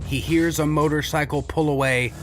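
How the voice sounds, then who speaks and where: A man's voice: fairly high pitch, fairly even intonation, moderate pace. A man narrating a scripted audio drama, describing the action in a dramatic storytelling style. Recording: music playing behind the voice.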